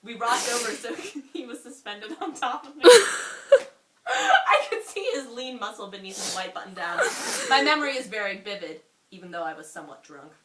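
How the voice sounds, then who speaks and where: A young woman reads a story aloud with a very lively, swinging pitch. Her manner is amused and informal, as if for a friend. The sound is roomy and distant from the mic, with a little noise. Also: normal speed, crowd noise. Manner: giggly, expressive, breaks off for asides. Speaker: reader.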